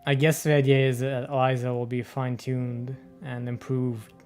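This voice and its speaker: A man's voice: mid-range voice, level tone, normal speed, a little mellow. Musing man commenting on a game. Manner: musing, hesitant, chuckling. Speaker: let's-play host. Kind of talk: casual gameplay commentary. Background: game music.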